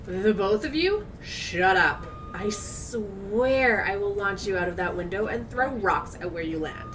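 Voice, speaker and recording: A woman's voice: medium pitch, a little slow. Woman playing a blunt, no-nonsense friend, slightly distant. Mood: threatening. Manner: blunt, scolding, deadpan. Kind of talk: audio drama character acting. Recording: slightly distant with faint noise.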